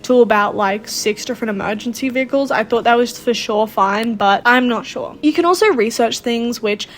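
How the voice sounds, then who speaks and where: A woman's voice: a little high, normal speed. A woman giving casual gameplay commentary in a sarcastic, exasperated, complaining way. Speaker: gaming video host.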